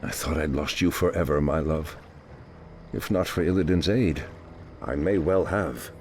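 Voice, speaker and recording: An actor playing a stern, wrathful night elf druid lord in a fantasy video game, commanding and dramatic. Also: male voice, a bass voice, moderate pace, a little mellow, soft background music. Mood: urgent.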